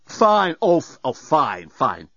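An excitable man from a comic storybook, put on by a male narrator. He is theatrical, sarcastic and spluttering, and his pitch leaps around. The recording is dry and a bit dull. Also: fairly quick, dry recording, heavily acted.

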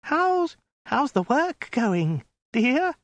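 Comic audiobook role: pitiful captive woman, very high yelping wail , performed by a man in falsetto. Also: expressive, gravelly, fairly bright tone, dry recording, full character voice. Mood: pathetic sobbing. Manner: halting, wailing, whimpering. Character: sobbing, terrified captive woman, shrill and pathetic.

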